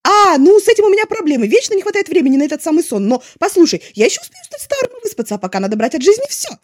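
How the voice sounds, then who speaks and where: A woman voicing an exuberant, chatty young male artist in an audiobook, gushing and excitable. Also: very high voice, very fast, pitched above the performer's own voice. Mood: chatty, animated. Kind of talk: expressive audiobook character voice.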